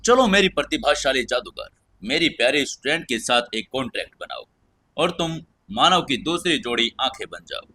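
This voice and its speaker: Man voicing a bright-voiced, coaxing magician teacher. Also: mid-range voice, very animated, moderate pace, husky, heavily acted. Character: male magician teacher, persuasive, scheming, earnest. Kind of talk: audio drama storytelling.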